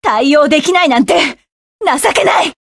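A proud, sharp-tongued mecha pilot. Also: female voice, sits high, expressive, normal speed, gravelly, no echo, clean studio sound. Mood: fierce, commanding. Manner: curt, commanding barks. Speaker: game character. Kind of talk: video game battle voice lines.

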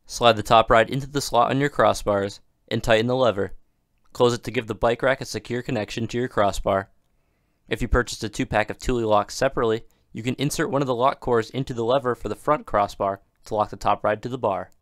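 A man giving scripted, even-toned narration for a product installation video, with steady instructional delivery. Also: mid-range voice, a little fast, dry recording, studio quality.

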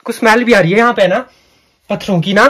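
A young man filming himself on a phone for a casual challenge vlog, outdoors on a hillside and crawling into a cave, chatting excitedly and calling his friend bhai. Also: high-pitched, fast talker, a little hiss or hum. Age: young adult. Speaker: vlogger. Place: outdoors on a green hillside and inside a narrow cave. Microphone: phone camera at arm's length.

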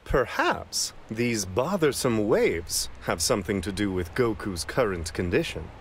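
A man's voice: lowish voice, big pitch swings, a little slow, slight background noise. A man playing a serene, refined angelic attendant in an anime video game, prim, formal and politely aloof. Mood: calm, analytical.

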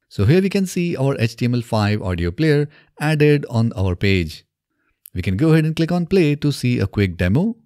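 A man in his thirties presenting a software tutorial step by step in a methodical way, recorded close on a desk microphone in a small home studio. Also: fairly low pitch, dry recording. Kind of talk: scripted software tutorial narration. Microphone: close desk microphone on boom arm.

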